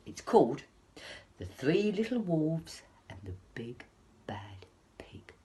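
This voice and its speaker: Husky, expressive woman reading to young children. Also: deep voice, slow. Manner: dramatic, with hushed asides. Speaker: early years storyteller.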